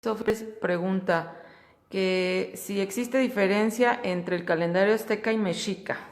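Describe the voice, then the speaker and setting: A woman's voice: mid-range voice, fairly even intonation, a little slow, a little hiss or hum. A woman moderating a class, reading out students' questions to the teacher. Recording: echoey room with long reverb.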